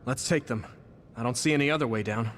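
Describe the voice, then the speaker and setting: An actor playing a determined, sincere young hero in a video game, speaking in an earnest, steady way. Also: male voice, medium pitch, fast, a little hiss or hum, close to an everyday voice.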